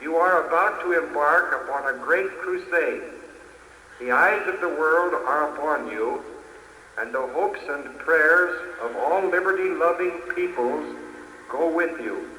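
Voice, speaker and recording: An actor playing a solemn factory announcer, declaiming formally over a public address system in 1940s radio drama style. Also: male voice, a little high, measured pace. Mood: solemn, urgent. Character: solemn factory announcer reading war news to workers. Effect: public address loudspeaker. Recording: echoing loudspeaker, noisy old radio.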